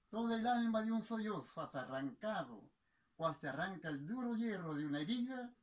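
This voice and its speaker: A man reciting patriotic poetry in a solemn, impassioned, oratorical manner, with theatrical delivery and dramatic pauses. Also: fairly high pitch, poor audio.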